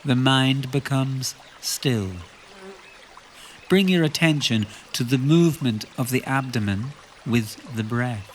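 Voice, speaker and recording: A man guides a relaxation meditation at a very slow pace, with a mid-pitched voice. He gives calm instructions in short phrases, leaving long pauses. A faint trickle of stream water runs behind him. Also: a little hiss or hum.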